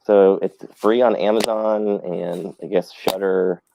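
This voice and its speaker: A man's voice: deep voice, steady tone, a little slow, vocal fry, dark tone, a little hiss or hum, rough recording. A man chatting casually about films as co-host of a live stream.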